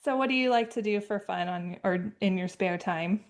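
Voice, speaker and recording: A woman's voice: medium pitch, normal speed. A woman in her thirties interviewing a guest, asking short, friendly questions. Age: 30s. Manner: friendly, asks short questions, joins in playfully. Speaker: podcast interviewer. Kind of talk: casual interview.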